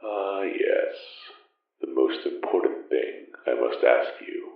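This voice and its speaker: An extremely low, gravelly voice belongs to an ancient, secretive man of magic. He speaks slowly and solemnly in formal, old-fashioned phrasing, as a mentor in an audio drama, heard muffled and echoing. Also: lively intonation, exaggerated voice. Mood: solemn, urging. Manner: formal, archaic, measured phrasing. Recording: muffled narrow band, echoey, distant.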